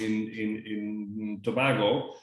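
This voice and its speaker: A man moderating a panel discussion, speaking haltingly and often repeating words as he forms his questions. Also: lowish voice, steady tone, a little hiss or hum. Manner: hesitant, repeats words as he goes. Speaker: webinar moderator. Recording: roomy echoing webinar audio.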